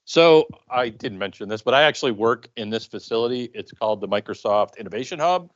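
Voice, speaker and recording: A man's voice: mid-range voice, expressive, brisk. A man co-hosting a meetup, casually running introductions for a group, on a dull, muffled recording. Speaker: meetup co-host.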